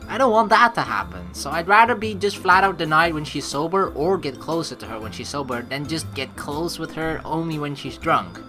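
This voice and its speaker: A man giving casual, rambling gameplay commentary and reading dialogue aloud, excitable, informal and sweary. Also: fairly high pitch, moderate pace, few pauses. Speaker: let's player. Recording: game music behind the voice. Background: game music.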